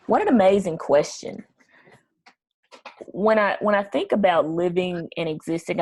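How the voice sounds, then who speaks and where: A woman's voice: fairly low pitch, a little mellow, poor audio. A woman giving an earnest, explanatory impromptu speech as toastmaster of the meeting.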